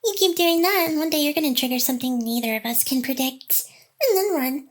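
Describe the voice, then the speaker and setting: A very high, girlish female AI companion, acted by a woman in an intimate ASMR roleplay. She teases and feigns aloofness, speaking straight to the listener with sighs, while her smitten, protective side shows through. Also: lively intonation, fairly quick. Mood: teasing, feigned indignation. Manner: teasing, mock-aloof, sighing, addressing the listener directly. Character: playful, teasing female AI hacker girl, secretly smitten and protective. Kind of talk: ASMR roleplay, intimate one-sided scripted drama.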